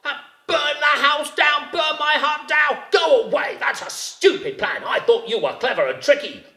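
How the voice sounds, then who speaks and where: Man putting on a squeaky, scolding storybook rabbit, high and jumpy, echoey room. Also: flat delivery, moderate pace, soft background music. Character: frightened fussy female rabbit, shrill and scolding.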